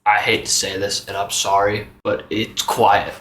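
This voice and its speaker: A man's voice: fairly low pitch, flat delivery, a little slow, fairly bright tone, slight background noise. A man playing a tabletop role-playing game, improvising casually with jokey, sarcastic banter.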